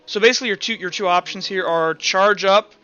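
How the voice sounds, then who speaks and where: A man's voice: high-pitched, brisk, sharp tone, clean tone, highs cut off. A man running a tabletop roleplaying game, narrating off the cuff in an informal, thinking-aloud way. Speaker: game master. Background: background music.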